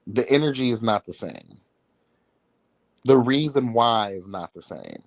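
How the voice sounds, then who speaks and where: A man's voice: mid-range voice, big pitch swings, a little slow, no echo. A man giving casual celebrity gossip commentary as a livestream host, with a sassy, catty, blunt and slangy way of talking.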